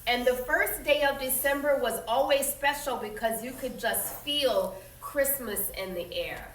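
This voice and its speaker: A woman with a slightly high voice reads a children's story aloud like a teacher. She is expressive and instructive, pausing to explain things simply. The sound is clearly echoey and a bit far from the mic, with faint noise behind her. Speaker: teacher reading a picture book aloud. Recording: roomy echo, distant mic, faint noise.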